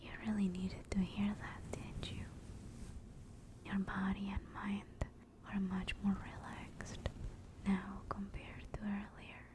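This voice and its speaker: A woman playing a gentle, affectionate sleep paralysis demon in a soothing, reassuring whispered ASMR comfort roleplay. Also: mid-range voice, monotone, close to an everyday voice. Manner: soft, slow, soothing, reassuring.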